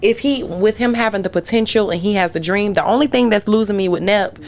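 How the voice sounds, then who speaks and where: A woman's voice: mid-range voice, fairly quick, darkish tone. A woman, a guest on a podcast, talking in long emphatic runs. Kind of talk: casual podcast chat.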